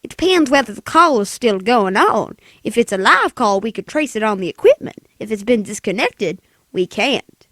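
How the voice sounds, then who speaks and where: A man voicing a polite but unhelpful female telephone operator in an old radio play, formal and measured. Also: high voice, animated, bright, forceful tone, dry recording. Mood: officious. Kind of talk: 1940s radio play acting.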